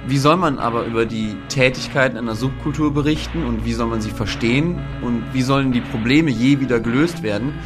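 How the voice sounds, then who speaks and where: A man's voice: medium pitch, fairly quick, hardly any pauses. A man giving explanatory commentary in a documentary interview. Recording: music under the voice.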